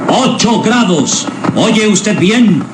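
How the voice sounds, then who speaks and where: Radio drama role: insistent male general, high voice, echoey and very noisy. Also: expressive, very slow, full character voice. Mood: urgent, commanding. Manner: insistent, emphatic, repeating orders. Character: urgent commanding male general at mission control. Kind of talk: Spanish-language radio serial acting.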